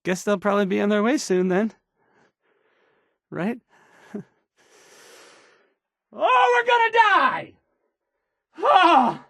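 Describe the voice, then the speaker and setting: An actor playing a nervous, bumbling, insecure male radio DJ in a video game, with a halting, stammering, unsure delivery. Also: very high-pitched, very animated, vocal fry, a bit bright, dry recording, clean studio sound, full character voice. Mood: panicky. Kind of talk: in-character radio news broadcast, video game voice acting.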